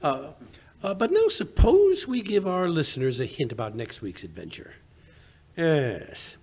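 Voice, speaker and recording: A man playing a genial, excitable gentleman sidekick with hesitant, old-fashioned speech in a 1940s radio drama style. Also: a little high, a little slow. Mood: genial, reminiscing.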